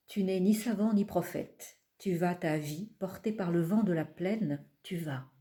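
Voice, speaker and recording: A woman poet reading her own poems aloud in a calm, measured way, pausing between phrases. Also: on the low side. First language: not French. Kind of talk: slow poetry reading.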